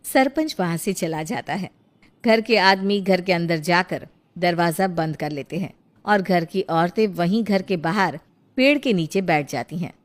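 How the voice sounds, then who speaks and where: A woman's voice: lowish voice, a little slow. A woman reading scripted narration as the storyteller of a tale.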